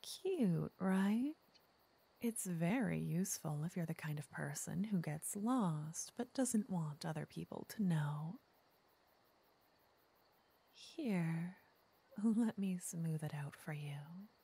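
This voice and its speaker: Breathy, flirty witch, voiced by a woman. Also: on the low side, animated, takes it slowly, full character voice. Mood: coaxing, intimate. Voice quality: soft, breathy, often whispering. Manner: flirtatious, coaxing, intimate. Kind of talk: ASMR roleplay. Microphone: close microphone.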